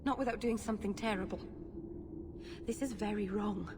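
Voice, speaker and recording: An actress playing an earnest, emotionally open young elf mage in a dramatic video game. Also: female voice, medium pitch, moderate pace, natural voice. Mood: uneasy, solemn. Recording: long reverb, faint background noise.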